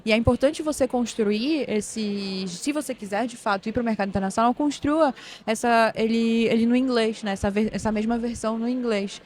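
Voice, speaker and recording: Animated northern Brazilian woman, higher voice. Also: moderate pace, slight background noise. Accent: northern Brazilian, Pará. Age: 20s. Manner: animated, explanatory, many restarts and "né". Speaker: guest, operations manager. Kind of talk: podcast interview at a tech event.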